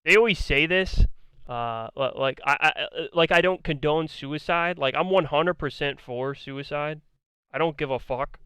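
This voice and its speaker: Blunt ranting man, slightly high voice. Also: moderate pace, pushed and bright, clear, resonant tone, dry recording. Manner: argumentative, blunt, profane, repeats himself. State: hoarse, losing his voice. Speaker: commentator. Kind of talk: ranting commentary on a debate.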